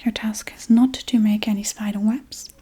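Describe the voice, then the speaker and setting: Witch for ASMR role-play, played by a woman: soft and mellow, breathy whisper, playfully menacing, forgetful, coaxing and unhurried. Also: mid-range voice, steady tone, close to an everyday voice. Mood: coaxing, gentle.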